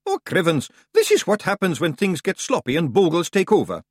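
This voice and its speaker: A man's voice: high voice, huge pitch swings, brisk, husky, no room sound, studio quality, voice pushed up, heavily acted. A man voicing a small, cocky fairy man with a broad, boisterous Scottish accent in an audiobook. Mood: brash cheery. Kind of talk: audiobook character voice.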